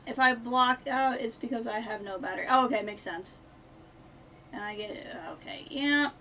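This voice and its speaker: A woman streams a video game with a bright, fairly high voice. She chats casually with viewers and drops offhand asides to herself, over faint noise and keyboard clatter. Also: moderate pace. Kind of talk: casual live-stream game commentary and chat.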